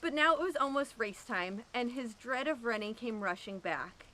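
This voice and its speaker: A woman reading a children's picture book aloud, with expressive, drawn-out emphasis. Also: fairly high pitch, slight background noise. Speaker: storyteller at an animal sanctuary.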